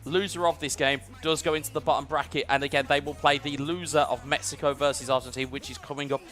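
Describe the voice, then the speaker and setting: Bright-voiced British esports commentator. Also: male voice, a little high, level tone, fast, soft background music. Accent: British English. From United Kingdom. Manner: fluent, analytical broadcaster delivery. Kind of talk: live esports play-by-play commentary.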